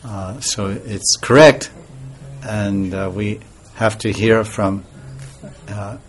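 A man giving an informal devotional talk as a spiritual teacher, soft-spoken and rambling, with pauses and restarts. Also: fairly low pitch, fairly even intonation, faint music behind.

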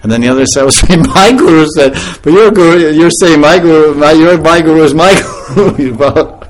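A man's voice: a little high, fairly quick, soft background music, poor audio. Laughing man giving a spiritual talk. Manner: laughing often, animated storytelling. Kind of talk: informal spiritual lecture.

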